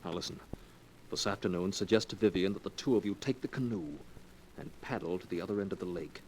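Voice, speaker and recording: A scheming young sweetheart played by a male actor in an old radio melodrama. His voice sits fairly low and he presses his case with earnest, coaxing intensity. The vintage recording carries some faint noise. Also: fast, close to an everyday voice, poor audio. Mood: coldly persuasive. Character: scheming young fortune-hunting man, smooth persuasive sweetheart. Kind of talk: 1940s radio drama acting.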